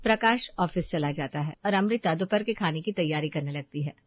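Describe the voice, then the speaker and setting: A woman's voice: low-pitched, fairly quick, highs cut off. A woman reading scripted narration for a moral story as the storyteller.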